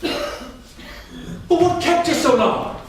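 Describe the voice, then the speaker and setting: A man's voice: very high-pitched, extremely slow, exaggerated voice. A man playing a stern, suspicious father in a staged reading, clipped and accusing. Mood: suspicious, probing. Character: stern, suspicious Irish father and husband, jealous, interrogating. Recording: echoey theatre, far from mic.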